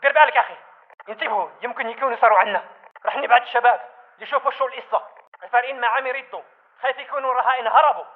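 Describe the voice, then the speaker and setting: A man's voice: extremely high pitch, rapid-fire. A man playing an anxious militant with a Levantine Arabic accent, reporting through a radio filter in a video game. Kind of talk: video game combat chatter. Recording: narrow, tinny radio transmission.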